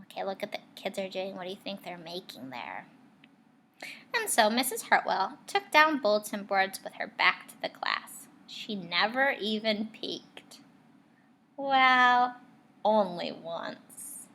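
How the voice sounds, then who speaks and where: A woman teacher reading a picture book aloud, expressive, pausing to put questions and asides to her young listeners. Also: mid-range voice. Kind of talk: read-aloud storytelling with asides to children.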